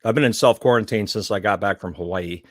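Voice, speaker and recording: Low-voiced teasing Southern man. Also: quick pace, no room sound, clean studio sound. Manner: animated, teasing, swears freely, laughs loudly. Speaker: podcast co-host. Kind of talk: casual chat.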